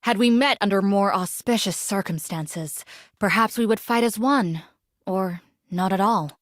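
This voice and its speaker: An actress playing a solemn, dutiful ancient warrior woman in a video game, speaking in formal, measured, archaic phrases. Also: medium pitch, no echo, clean studio sound, natural voice. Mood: grave, formal. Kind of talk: video game character voice lines.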